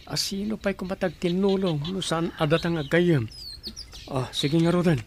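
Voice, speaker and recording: An actor playing a loyal young friend talking casually in a radio drama. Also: male voice, a little high, normal speed, background noise, close to an everyday voice. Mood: friendly. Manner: casual, friendly, calls his friend bro.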